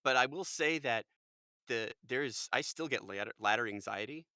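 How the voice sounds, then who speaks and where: Man, 40s, podcast guest; bright, tense tone, dry close sound, animated chatty delivery. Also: moderate pace, no echo. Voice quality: fairly high-pitched voice. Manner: chatty, animated storyteller, quotes people in lively voices. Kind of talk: casual chat.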